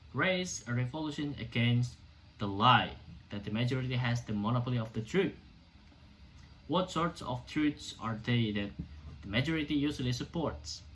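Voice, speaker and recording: Guy doing a indignant stage-play doctor making a defiant speech, emphatic, mid-pitched, bit of background noise. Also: a bit bright, natural voice, low-quality recording. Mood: indignant, fervent. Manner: emphatic, declamatory, halting in places. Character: defiant, indignant doctor orating to a hostile crowd. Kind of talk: amateur dramatic reading of a stage play.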